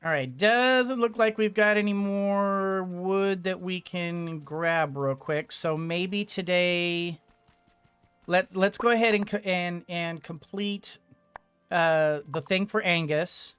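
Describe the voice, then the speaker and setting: A let's play host commentates casually in a clean, smooth voice. The pace is slow, as if thinking aloud, with words often repeated. Soft music plays behind. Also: medium pitch, no echo. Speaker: gaming video host.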